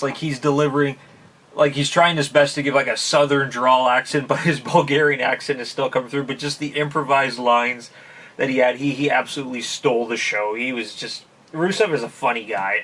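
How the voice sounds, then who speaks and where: Man, chatty casual vlogger, many fillers, mid-pitched voice, set back from the microphone. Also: fairly bright tone. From Canada. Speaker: YouTube channel host. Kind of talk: casual review vlog. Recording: voice somewhat distant from mic.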